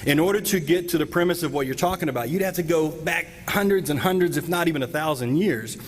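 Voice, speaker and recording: A man's voice: on the high side, fast. A middle-aged man from the United States, debating from a podium microphone on an auditorium stage and arguing his points assertively. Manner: assertive, argumentative, emphatic gestures. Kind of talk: formal debate cross-examination. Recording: hall reverb, faint background noise.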